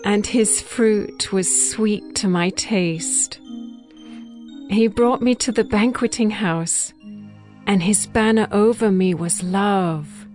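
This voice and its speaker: An actress playing a tender, devoted young bride in a dramatized scripture reading, with measured, poetic delivery. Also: female voice, medium pitch, darkish tone, natural voice.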